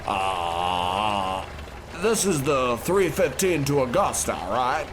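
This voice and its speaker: A male actor plays an earnest, slightly unhinged gentleman in a parody of old radio drama. His voice is mid-pitched, wordy and fussy, with clear noise and typing clicks behind it. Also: very animated, slow. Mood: polite, uneasy. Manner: wordy, fussy, self-correcting. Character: earnest, rambling, unhinged well-educated gentleman of 1920s.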